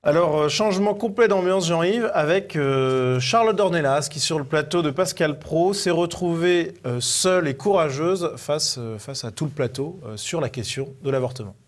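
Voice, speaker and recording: Higher-pitched man co-hosting. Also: lively intonation, moderate pace, few pauses. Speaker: co-host presenting media clips. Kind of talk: conversational media review show.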